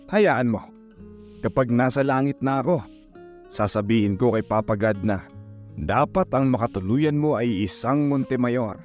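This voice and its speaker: A man's voice: medium pitch, darkish tone, natural voice. A man playing a doting, teasing old grandfather in an audio drama, with a Filipino accent. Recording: muffled, with guitar music underneath.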